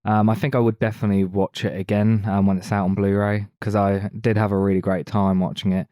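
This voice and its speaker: A man's voice: on the low side, little pitch movement, fast talker, dark tone, no room sound, studio quality. A man co-hosting a film podcast, talking casually about movies.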